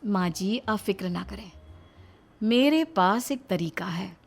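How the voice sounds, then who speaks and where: A woman's voice: mid-range voice, animated, slow, vocal fry, faint music behind, full character voice. A woman voicing a dutiful, shrewd elder sister-in-law in a family story, earnest and emphatic. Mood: scheming.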